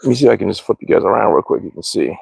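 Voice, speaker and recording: A man's voice: on the low side, lively intonation, rapid-fire, creaky, a little mellow, breathy edge, no echo. An American man chatting casually on a livestream, rambling along in an informal, slangy way. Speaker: livestream host, hobby archer. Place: outdoors at a backyard archery range.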